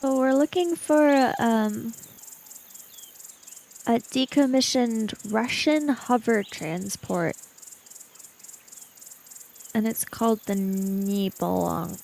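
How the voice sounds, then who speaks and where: A woman's voice: a little high, slow, creaky, pauses often, darkish tone. A woman playing a tabletop roleplaying game, chatting casually, often as her character.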